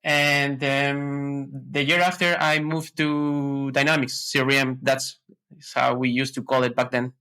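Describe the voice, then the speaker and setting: A man's voice: medium pitch, level tone, a little slow, sharp tone, clear, resonant tone. A man from Ecuador, answering podcast questions in a hesitant way, with many fillers and restarts. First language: Spanish. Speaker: podcast guest. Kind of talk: casual interview answers.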